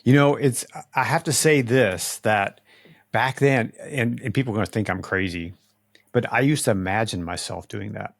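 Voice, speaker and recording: A middle-aged man hosting a podcast, speaking slowly and deliberately in a casual conversation. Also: fairly low pitch, creaky, no room sound, studio quality. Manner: slow, deliberate speech, says he talks slowly to avoid stammering. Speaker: podcast host.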